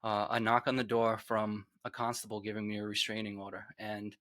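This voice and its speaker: Low, bright-voiced man with Rhode Island accent. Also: monotone, fairly quick, no echo. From Rhode Island, USA. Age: 40s. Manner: earnest, reflective, trails off quietly. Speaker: podcast guest, author. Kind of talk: candid personal storytelling.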